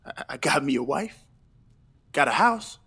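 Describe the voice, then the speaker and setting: A man's voice: on the high side, big pitch swings, unhurried, bright, forceful tone, husky, full character voice. Guy playing young 1920s newlywed husband, earnest n dreamy, big ambitions, kinda hesitant, audio play. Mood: dreamy, fervent. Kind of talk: stage play audio reading.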